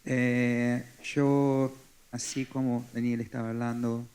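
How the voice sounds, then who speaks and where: A man in his forties from Brazil with a Brazilian Portuguese accent, Portuguese his first language, speaking haltingly as a forum panelist. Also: mid-range voice, little pitch movement, darkish tone. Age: 40s. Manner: halting, searching for words. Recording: echoey conference hall.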